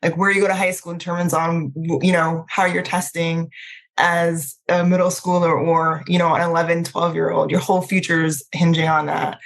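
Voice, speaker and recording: A woman's voice: fairly low pitch, level tone, moderate pace. A middle-aged woman, talking informally as an interview guest, peppering her speech with conversational filler phrases. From Trinidad, then Maryland, United States. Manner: informal, frequent "like" and "you know". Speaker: podcast guest.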